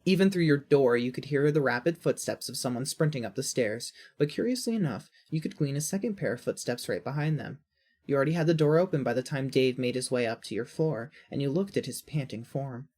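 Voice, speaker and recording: A woman's voice: rumbling low, lively intonation, brisk, dark tone. A woman reading scripted second-person story narration.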